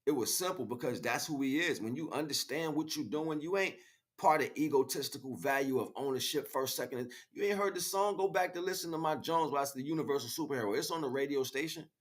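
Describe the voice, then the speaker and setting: A man's voice: on the high side, fast. American man preaching in street slang. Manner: preachy, slangy, repeats phrases for emphasis. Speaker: spiritual livestream host. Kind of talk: impromptu spiritual monologue to listeners.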